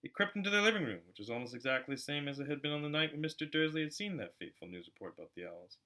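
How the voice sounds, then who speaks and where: Bright, animated man reading a storybook. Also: on the high side, racing. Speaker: amateur audiobook reader. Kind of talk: animated storybook narration with chatty asides.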